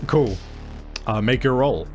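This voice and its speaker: Man, tabletop game master. Unhurried, halting, casual narration over music. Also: mid-range voice, expressive, a little mellow. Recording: music playing behind. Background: background music.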